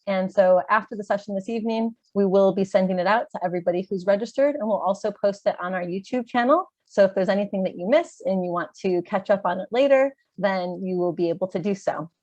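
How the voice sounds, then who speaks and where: A woman with an American accent from Chicago, a little congested, presenting a webinar in a clear, organized way with some "um" fillers. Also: mid-range voice, fast, few pauses, darkish tone, no room sound. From Chicago, USA. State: slightly congested, clearing throat. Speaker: webinar presenter, education advisor. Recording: dull, dry video-call audio.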